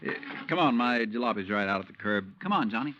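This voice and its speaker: An actor playing an easygoing, jovial American insurance branch manager in 1950s radio style. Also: male voice, fairly low pitch, quick pace, loud music behind the voice, close to an everyday voice. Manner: folksy, chuckling, relaxed. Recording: dull vintage radio recording.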